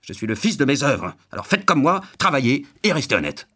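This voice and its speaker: A man voicing a gruff, self-righteous, well-off glutton in a storybook reading, scolding with loud emphasis. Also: medium pitch, very animated, fairly quick, voice pushed up.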